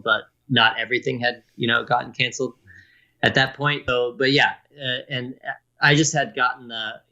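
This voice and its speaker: A man's voice: medium pitch, pushed and bright, lo-fi sound. A man who is a musician and a guest, chatting casually and easygoing in an interview.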